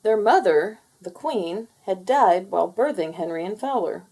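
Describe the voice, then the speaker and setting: A woman reading a children's picture book aloud in an expressive storytelling manner. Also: mid-range voice, normal speed, rough recording. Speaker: storybook reader. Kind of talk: children's read-aloud narration.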